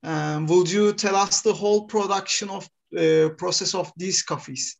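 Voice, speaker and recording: A man's voice: sits high, normal speed, vocal fry. A man from Turkey, a coffee roaster, hosting a live-stream interview and asking questions in careful English with fillers. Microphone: video call.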